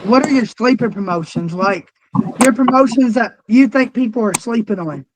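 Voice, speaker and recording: High-voiced, foul-mouthed young man. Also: noisy background. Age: 20s. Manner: profane and blunt, quick to rant. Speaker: podcast co-host. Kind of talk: casual interview chat.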